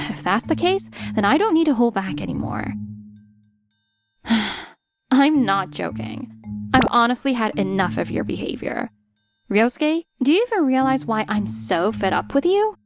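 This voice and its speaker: An actress playing a fed-up, sharp-tongued wife in a dramatized manga dub, sarcastic and pointed. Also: female voice, fairly high pitch, very animated, unhurried. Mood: cold, fed up. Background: music.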